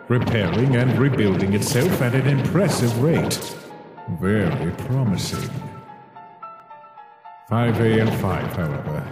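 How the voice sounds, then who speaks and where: A man playing a cold, condescending monster scientist obsessed with his work, speaking formally and deliberately in a dramatic comic dub. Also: fairly low pitch. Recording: echoey hall reverb, music behind.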